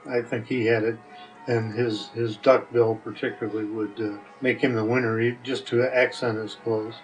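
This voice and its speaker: An older man in a casual interview chat, answering in a halting way with dry humor. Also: mid-range voice, flat delivery, far from the mic, faint music behind, poor audio. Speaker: recurring interview guest.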